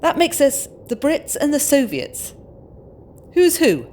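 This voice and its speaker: Actress as spirited young British wife, earnest and courteous, animated intonation, audio drama. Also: female voice, high voice, slow pace, a little hiss or hum, full character voice. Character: spirited young British expectant mother, polite but outspoken feminist.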